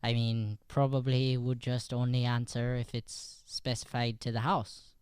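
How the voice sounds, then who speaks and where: A man's voice: medium pitch, level tone, a little slow, a little mellow, smooth, dry recording, natural voice. A man playing a curious, eager young Irish lad in an improvised radio play, speaking hesitantly and earnestly with an Irish accent. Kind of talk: improvised old-time radio play acting.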